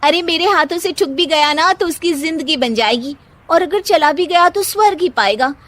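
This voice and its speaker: A woman voicing a boastful, stubborn young housewife in a comic cartoon story, bossy and quick to retort. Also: high voice, a bit bright, pitched above the performer's own voice.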